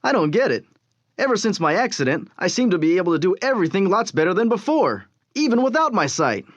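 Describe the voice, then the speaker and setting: Hopeful teen boy, earnest, slightly husky, lively pitch, dry close sound. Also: quick pace, no echo, highs cut off. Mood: wondering. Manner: earnest, reassuring. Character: hopeful teenage boy, brave and studious.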